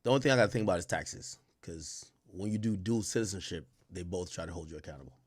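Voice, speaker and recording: A man's voice: deep voice, fast talker. A man in his thirties, born in Jamaica, chatting loosely to his stream chat with slang and swearing, wearing a headset indoors. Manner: loose, rambling, profane, heavy slang. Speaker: livestream host. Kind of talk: casual livestream commentary to chat. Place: indoor home streaming room.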